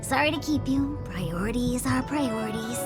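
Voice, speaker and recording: A woman's voice: a little high, animated, normal speed, close to an everyday voice. A woman playing a laid-back, chatty young diviner's aide in a video game, talking in a casual, breezy way. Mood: cheerful. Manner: casual, breezy, drawling slang. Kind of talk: video game cutscene acting. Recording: loud music behind the voice. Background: music.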